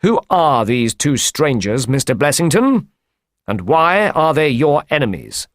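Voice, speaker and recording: A man plays a shrewd, commanding detective in an audiobook. He is blunt and stern, with slow, measured delivery and strongly varied pitch. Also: big pitch swings, no room sound, clean studio sound. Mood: probing. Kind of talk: audiobook character voice.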